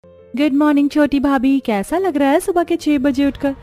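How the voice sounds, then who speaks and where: A woman's voice: sits high, moderate pace, warm tone, soft background music, natural voice. A woman voicing an eager, demanding young sister-in-law in a cartoon family drama.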